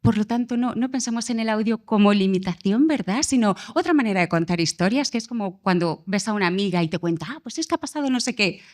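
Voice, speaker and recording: Passionate Spanish woman, lively pitch. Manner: passionate, dramatic pauses and shifting pace. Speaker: director on a panel. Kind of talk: live panel talk.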